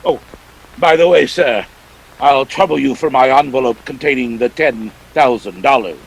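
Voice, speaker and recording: An actor playing a jovial, pompous, scheming older crime boss with florid, courtly speech in a detective radio play. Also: male voice, medium pitch, normal speed, creaky, breathy edge, poor audio. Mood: jovial, composed.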